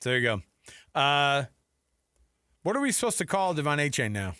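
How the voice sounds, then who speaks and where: A man's voice: fairly high pitch, big pitch swings, moderate pace, pushed and bright, clean tone, no room sound, studio quality. An American man co-hosting a sports radio show, chatting casually and jokily through the news and acting out imagined quotes. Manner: jokey, conversational, acts out imagined quotes. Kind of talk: casual sports talk banter with news rundown.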